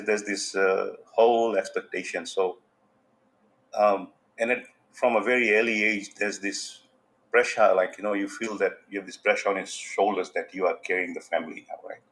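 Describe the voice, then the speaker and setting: A man's voice: deep voice, rough recording. A man from Fiji, a podcast guest, talking casually and rambling with lots of "you know" fillers. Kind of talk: casual interview talk.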